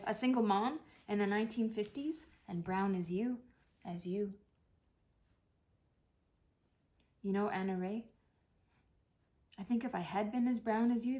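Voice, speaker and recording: A woman reading a play as a dying older mother, wry, tender and apologetic, with a gentle, reflective way of talking. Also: medium pitch, close to an everyday voice. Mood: tender regret.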